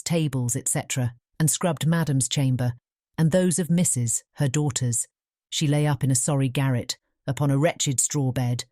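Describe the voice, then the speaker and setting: A British woman reads a scripted fairy tale as narrator. Her voice is very low and soft-toned, measured, and recorded dry and close. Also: no echo. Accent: British English. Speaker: audiobook narrator.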